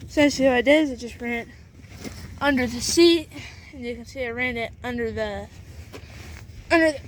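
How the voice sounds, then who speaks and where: A woman giving a casual, halting how-to walkthrough in and around a pickup truck, leaning on fillers and repeating herself as she explains. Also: a little high, slight background noise. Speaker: video host showing a vehicle setup.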